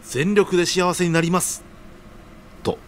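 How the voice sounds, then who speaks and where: A man's voice: high-pitched, steady tone, a little slow, slight background noise. A man acting an earnest, humble young junior worker in an audio drama, speaking casually but deferentially. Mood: sincere, respectful. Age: young adult.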